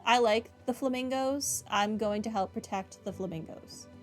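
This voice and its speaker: A woman's voice: a little high, moderate pace, soft background music. A woman doing casual, opinionated game commentary, talking back to the characters. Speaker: gaming playthrough host.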